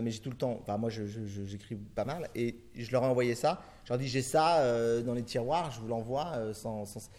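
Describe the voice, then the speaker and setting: A man's voice: mid-range voice, mellow. A middle-aged man from France, a film director answering interview questions in long, rambling turns full of restarts and fillers.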